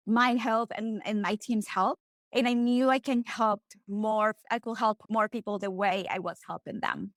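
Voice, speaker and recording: A woman's voice: fairly high pitch, fairly bright tone, no room sound. Friendly Colombian-accented woman guest. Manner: friendly, quick, laughs easily. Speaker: marketing agency owner. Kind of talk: casual interview.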